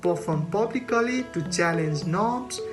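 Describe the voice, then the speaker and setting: A woman from Nepal giving a scripted presentation, reading prepared text in a measured, formal way. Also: on the low side, expressive. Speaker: community organisation co-founder. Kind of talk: scripted presentation in a recorded video. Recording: plucked string music behind the voice.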